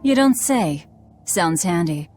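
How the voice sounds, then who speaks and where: A woman's voice: fairly low pitch, expressive, takes it slowly, a little mellow, soft background music. A woman playing a cynical, bossy young female demon in a video game, curt and sardonic. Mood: stern, sardonic. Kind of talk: video game cutscene acting.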